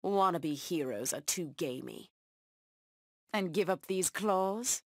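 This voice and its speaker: An actress voicing a fierce, bloodthirsty feline huntress in a video game, with a menacing, haughty delivery. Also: female voice, lowish voice, expressive, takes it slowly, no room sound, clean studio sound. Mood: menacing, predatory. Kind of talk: video game voice acting, short combat taunts.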